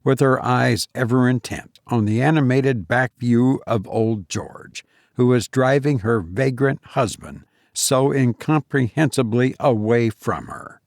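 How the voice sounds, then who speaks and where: A man's voice: mid-range voice, a little slow, vocal fry, dry recording, studio quality. A man reading a story aloud as scripted audiobook narration. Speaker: audiobook reader.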